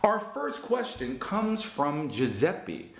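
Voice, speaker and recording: A man with a Northern New Jersey accent, giving an online English lesson in a slow, emphatic and encouraging way. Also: on the high side, wildly swooping pitch, reverberant. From United States. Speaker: English teacher.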